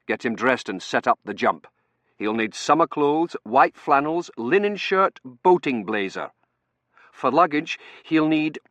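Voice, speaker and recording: Man acting a brusque, animated academic boss. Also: fairly high pitch, no echo, voice pushed up. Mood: brisk, commanding. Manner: brisk, authoritative. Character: brusque, commanding male academic in charge, easily exasperated.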